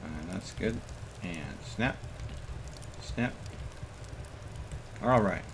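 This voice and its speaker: A man's voice: low voice, expressive, very slow. A man streaming a game with casual commentary, muttering to himself as he plays. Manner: muttering to himself while playing. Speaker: live streamer. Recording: clearly noisy background.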